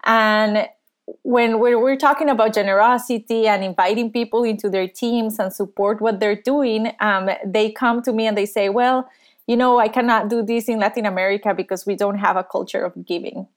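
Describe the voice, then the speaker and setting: A woman's voice: medium pitch, fairly even intonation. Woman from Costa Rica, podcast guest on a video call, earnest and thoughtful. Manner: earnest, thoughtful, many pauses. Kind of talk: conversational interview.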